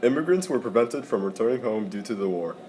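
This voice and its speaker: A young male student reading a history class project aloud in a flat, subdued way, recorded in a classroom. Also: on the low side, fast. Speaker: student presenter. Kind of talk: reading a school project narration. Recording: roomy classroom with faint background noise.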